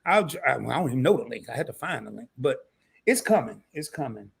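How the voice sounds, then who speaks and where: A man's voice: mid-range voice, quick pace, vocal fry, crowd noise. A man hosting a casual live-stream interview, slangy and joking at his own expense, his voice a little scratchy tonight. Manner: animated, slangy and laughing at himself. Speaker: host.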